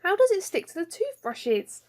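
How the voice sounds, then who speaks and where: A woman voicing a curious, excitable little girl, earnest and full of questions, in a children's storybook. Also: very high-pitched, normal speed, pitched above the performer's own voice. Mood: puzzled, curious.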